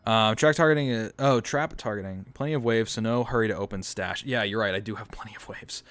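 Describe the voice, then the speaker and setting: A man's voice: on the low side, fast, fairly bright tone. A man streaming a strategy game, thinking aloud as he plays and answering chat with self-mocking asides. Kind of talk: casual gameplay livestream commentary.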